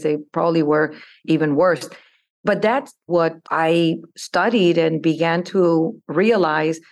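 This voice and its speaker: Colombian-born woman guest, low and flat-toned, deliberate delivery. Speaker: investment firm CEO, guest. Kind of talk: interview answers.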